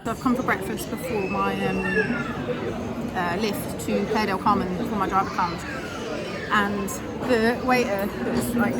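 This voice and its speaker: A woman with a British accent, chatting casually and self-mockingly to her phone camera, laughing often, her voice a little croaky and sleepy. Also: mid-range voice. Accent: British English. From England. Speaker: vlogger. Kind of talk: casual vlog chat.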